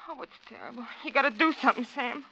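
An actress playing an anxious, insistent wife, fretful and urgent, in a 1940s radio drama. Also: female voice, on the high side, animated, fast talker, creaky, bright, forceful tone, slight background noise, heavily acted. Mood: frightened. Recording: dull old radio recording.

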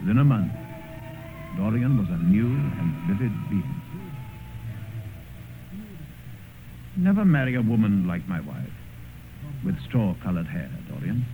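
Deep-voiced, suave cynical gentleman. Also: sing-song, moderate pace, over music. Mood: suave cynicism. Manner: suave, drawling, amused, epigrammatic. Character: worldly, cynical English gentleman, older mentor and corrupter. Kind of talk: 1950s radio drama acting and first-person narration. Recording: muffled, hissy old radio broadcast.

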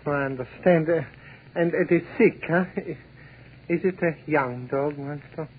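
An actor playing a timid, flustered, deferential underling in 1940s radio drama, stammering and hesitant. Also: male voice, on the high side, unhurried, close to an everyday voice. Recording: tinny noisy 1940s radio broadcast.

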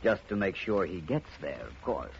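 A wry, self-assured male detective in 1950s radio drama style. His low voice is lively and forceful, heard through a dull old recording. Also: moderate pace, creaky, slight background noise, full character voice. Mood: wry, commanding.

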